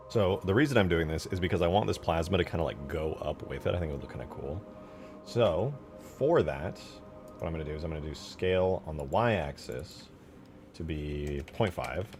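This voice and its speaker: Low-voiced man casually thinking aloud. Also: a little mellow. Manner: informal slangy, muttering to himself. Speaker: game modder streaming. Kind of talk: casual thinking-aloud commentary while working.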